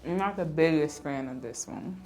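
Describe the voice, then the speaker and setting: A woman in her twenties from Los Angeles, a personal chef, speaking in a measured, thoughtful way. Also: low voice, a little mellow, faint music behind, poor audio. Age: 20s.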